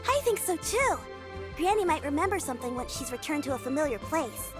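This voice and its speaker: A woman's voice: high voice, big pitch swings, fairly quick. A woman voicing a shy, earnest little village girl in a video game, with a hesitant, childlike way of talking. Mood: thoughtful. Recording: music under the voice.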